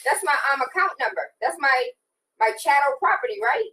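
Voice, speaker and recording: A woman from Trinidad hosting a talk show interview, cutting in with animated, rapid-fire questions. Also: high voice, recorded from a distance. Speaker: host.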